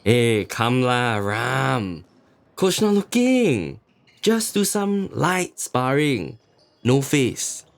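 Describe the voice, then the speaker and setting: An actor playing a brash, teasing teenage sparring partner in an audio drama, with a Singaporean accent. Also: male voice, mid-range voice, big pitch swings, drawn-out words, vocal fry, exaggerated voice. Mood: cocky, coaxing. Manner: teasing, goading. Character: tall cocky teenage boy, brash MMA sparring partner.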